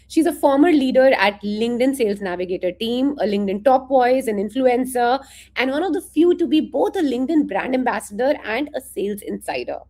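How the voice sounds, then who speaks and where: A woman's voice: a little high, a little mellow. A woman hosting a live interview stream, introducing her guest enthusiastically over a video call.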